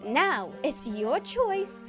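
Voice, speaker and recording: A woman voicing a menacing female pony villain, a sinister clone, with drawn-out, theatrical, threatening delivery. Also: sits high, very animated, over music, voice pushed up, heavily acted. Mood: menacing, gloating.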